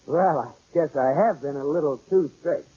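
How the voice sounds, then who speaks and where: An actor playing a flustered, earnest husband protesting in an old radio sitcom. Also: male voice, on the high side, moderate pace, warm tone, breathy edge. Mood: sheepish. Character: exasperated, flustered husband, earnest and easily cowed. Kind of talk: 1940s-50s radio sitcom acting. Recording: muffled, hissy old radio recording.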